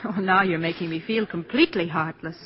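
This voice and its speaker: An actress playing a brisk, practical businesswoman and mother, polite but firm, in 1940s radio style. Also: lowish voice, natural voice. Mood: guarded, firm. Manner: polite, firm, matter-of-fact. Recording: dull 1940s radio recording.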